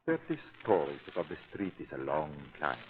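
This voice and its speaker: An actor playing a domineering criminal hypnotist, menacing and condescending, in 1930s radio villain style. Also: male voice, rumbling low, sing-song, fairly quick. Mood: controlled menace. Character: smooth-talking, domineering criminal hypnotist, megalomaniac man. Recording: tinny, band-limited 1930s radio recording.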